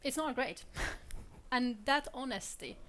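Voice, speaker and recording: A woman's voice: high voice, lively intonation, takes it slowly, sharp tone, slight background noise. Candid laughing young woman panelist. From Switzerland. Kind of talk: panel discussion.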